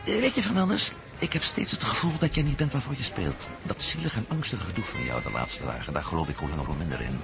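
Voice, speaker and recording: Pushy suspicious adventurer in a radio play, quick talker, very animated, music behind. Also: male voice, sits low, creaky. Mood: probing, insistent. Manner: insistent, questioning. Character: urgent, probing male American adventurer abroad, blunt and suspicious. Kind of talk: Dutch radio play acting.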